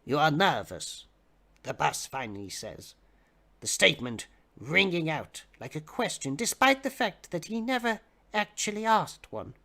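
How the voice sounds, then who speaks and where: A man giving a theatrical, expressive dramatic reading of fiction as the narrator. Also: on the high side, moderate pace, gravelly. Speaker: dramatic reader.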